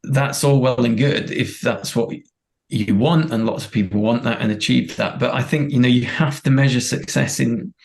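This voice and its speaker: A man's voice: mid-range voice, moderate pace, gravelly. A man who grew up in Thailand and India, a performance director, talking earnestly and reflectively in a podcast interview, often restarting his sentences.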